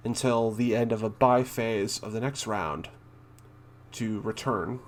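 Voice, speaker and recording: A man doing casual commentary as he reads game notes aloud, halting and thinking out loud, with a blunt streak. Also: lowish voice, fairly even intonation, slight background noise. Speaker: gaming content creator and streamer.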